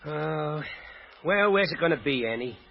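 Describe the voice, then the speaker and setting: A cheeky driver, high-voiced and very animated, in a vintage radio play with muffled, reverberant sound. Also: male voice, unhurried. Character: cheeky male driver for a gambler. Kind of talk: old-time radio drama acting.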